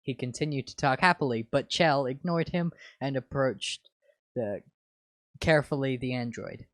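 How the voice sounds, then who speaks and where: A man's voice: medium pitch, moderate pace, a bit bright, no room sound. A man co-hosting an informal fan fiction reading, mixing casual chat with amateur reading aloud.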